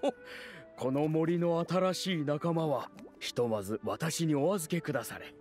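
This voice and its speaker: A man voices a kindly old animal elder in an anime game. His voice sits a little high for a man, with courtly, old-fashioned, grandfatherly phrasing. Music plays behind him. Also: unhurried, darkish tone, close to an everyday voice. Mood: warm, obliging. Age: older. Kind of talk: anime video game voice acting.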